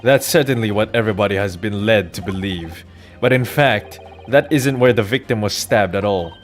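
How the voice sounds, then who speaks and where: Man acting a low-voiced, formal courtroom lawyer. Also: moderate pace, faint music behind, close to an everyday voice. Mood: assertive.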